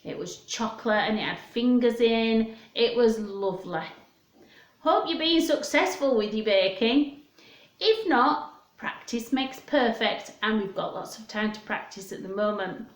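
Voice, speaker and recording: A woman hosting storytime for young children in a sing-song, questioning manner, chatting and reading aloud. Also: fairly high pitch. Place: indoors at home. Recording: echoey home room.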